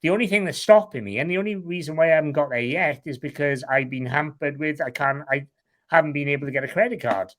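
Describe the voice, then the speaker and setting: A man's voice: on the high side, fast talker. Frank Welsh man, rambling. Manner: frank and rambling, swears casually. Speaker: guest, online seller. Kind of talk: candid interview chat.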